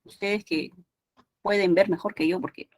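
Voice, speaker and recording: A woman's voice: mid-range voice, steady tone, moderate pace, no room sound. A woman from Peru with a Peruvian Spanish accent, giving an exam prep language lecture in a conversational way, with many tag questions and restarts. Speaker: language teacher.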